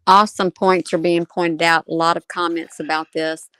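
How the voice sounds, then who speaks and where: A woman with a fairly low voice delivers casual reaction commentary. She circles back over her points and poses rhetorical questions, in a dry recording with no room sound. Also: flat delivery, normal speed, sharp tone, smooth, dry recording. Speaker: video commentator.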